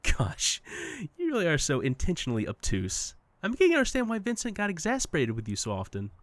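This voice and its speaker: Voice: mid-range voice, huge pitch swings, quick pace, dry recording. An actor playing a smug, playful, unreal best friend in an audio drama, teasing and condescending in a sing-song way. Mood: mocking, coy. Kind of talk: audio fiction podcast acting.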